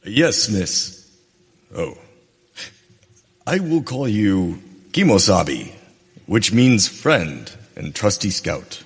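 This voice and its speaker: A man playing a grave, loyal scout in a western radio play, speaking formally and deliberately. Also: on the low side, big pitch swings, husky, heavily acted. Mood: approving, curious. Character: solemn, loyal male trail companion and scout. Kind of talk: western radio theater acting.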